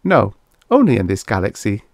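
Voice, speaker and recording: Man voicing a calm, precise alien machine oracle. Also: fairly low pitch, gravelly, no room sound, studio quality, exaggerated voice. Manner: formal, measured, pedantic.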